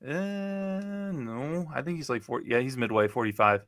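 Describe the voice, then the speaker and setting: Young male host, bright and punchy, leads the rundown. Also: medium pitch, normal speed, slight background noise. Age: 20s. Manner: chatty, leads the segments. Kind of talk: casual sports chat.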